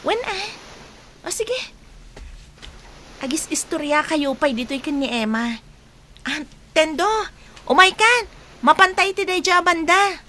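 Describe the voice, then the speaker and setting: A woman's voice: high-pitched, animated, measured pace, pauses often, sharp tone, slight background noise, exaggerated voice. A woman playing a chatty, supportive young best friend in an Ilocano radio drama. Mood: reassuring.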